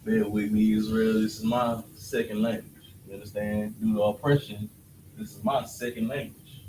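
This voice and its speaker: A man's voice: lowish voice, flat delivery, moderate pace, mellow. Casual male teacher in an echoey room. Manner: casual, checks understanding often. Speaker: class teacher. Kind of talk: classroom lesson on alphabet letters. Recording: echoey room, distant mic, faint noise.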